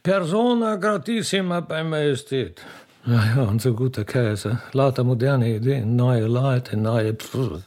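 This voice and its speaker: A man's voice: medium pitch, lively intonation, a little slow, a little mellow, natural voice. A man voicing a gossiping, disdainful Viennese court aristocrat in a comic radio play, affected and dithering, with repetitive little tags.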